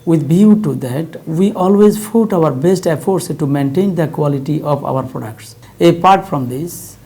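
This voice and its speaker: Creaky, mellow Bangladeshi speaker giving formal speech. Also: mid-range voice. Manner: formal, reading from a script. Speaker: company executive giving a speech. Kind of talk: formal prepared corporate speech.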